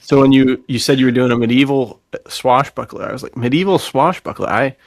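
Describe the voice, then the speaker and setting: A man co-hosts in a loose, rambling, excitable style. His mid-pitched voice has a lot of creak and is recorded dry. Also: brisk, no room sound. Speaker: podcast co-host. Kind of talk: casual chat.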